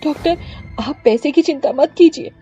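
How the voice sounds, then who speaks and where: A woman's voice: high-pitched, normal speed, warm tone, soft background music, lightly acted. A woman voicing an anxious, grieving young wife in a story, pleading and sorrowful, on muffled audio. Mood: frantic.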